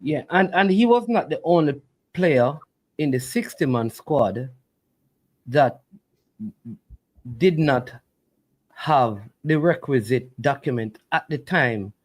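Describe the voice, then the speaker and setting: A man's voice: a little high, animated, takes it slowly, pauses often, low-quality recording. A man hosting a sports talk show, politely steering callers and carefully explaining points. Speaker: show host.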